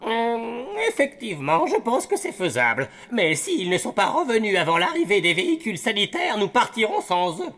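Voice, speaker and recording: Woman doing a stern doctor guy, curt orders, bouncy pitch. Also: very animated, moderate pace, few pauses, fairly bright tone. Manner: curt, official.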